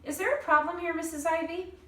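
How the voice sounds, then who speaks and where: A woman's voice: very high voice, fairly even intonation, a little fast, voice pushed up. A woman voicing a small, nervous male dentist with a formal, grave manner in a storybook. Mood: formal, concerned. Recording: roomy, distant mic, faint noise.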